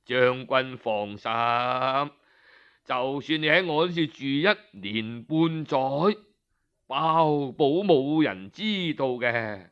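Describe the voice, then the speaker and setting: A man voicing a kindly, courteous old physician living as a hermit, gently reassuring in a Cantonese storytelling style. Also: medium pitch, slow pace, clean tone, dull audio, pitched below the performer's own voice. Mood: gentle, reassuring. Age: older.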